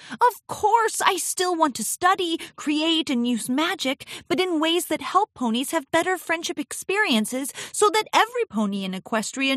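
A woman voicing an anxious, eager young pony princess in a children's audiobook, talking in a nervous, gushing, halting way. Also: high voice, fairly bright tone, dry recording, studio quality, voice pushed up.

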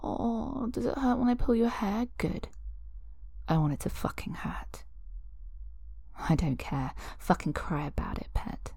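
A woman voicing an obsessive young woman who holds her lover captive, sweet on the surface and cruel beneath, talking in breathy whispers and giggles. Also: medium pitch, lively intonation, a little slow, a little mellow, no room sound, studio quality. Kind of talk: audio roleplay drama.

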